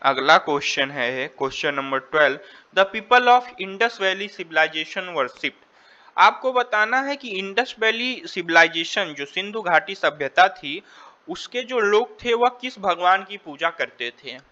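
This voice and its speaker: A man's voice: high voice, animated, slow pace, pushed and bright, dull audio. A man with an Indian accent teaching an exam quiz, reading questions and options, then repeating and rephrasing them as he mixes Hindi with English. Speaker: exam tutor. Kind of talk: quiz lesson, reading questions and explaining answers.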